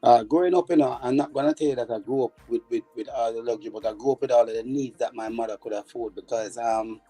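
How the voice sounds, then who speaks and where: A Jamaican man with a very dark, mellow voice answers interview questions, stumbling over repeated words as he recalls. Faint music runs underneath. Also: medium pitch, fast, husky, poor audio. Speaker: former footballer guest.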